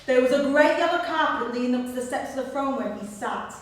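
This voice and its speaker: Brief: actress as an earnest accused defendant, high voice, stage sound from afar. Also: female voice, quick pace, lightly acted. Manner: earnest, descriptive. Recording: echoey hall from far off, faint hiss.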